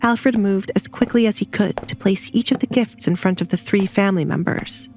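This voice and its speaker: A woman's voice: mid-range voice, normal speed, darkish tone, faint music behind. A woman narrator reading a scripted dramatic story.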